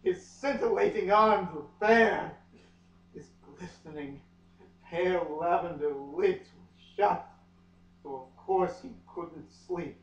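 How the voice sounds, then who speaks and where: Male reader, slow and overwrought, comic dramatic delivery with long pauses; muffled, distant room recording. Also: sits high. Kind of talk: melodramatic comic dramatic reading of a novel. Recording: echoey room, far from mic, muffled.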